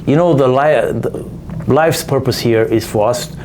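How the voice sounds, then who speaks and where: A man talks at an everyday pitch in a relaxed back-and-forth. He laughs often and keeps nudging his listener with "right?". A faint hiss sits under the recording. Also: a little slow. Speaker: podcast co-host. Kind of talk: casual conversation.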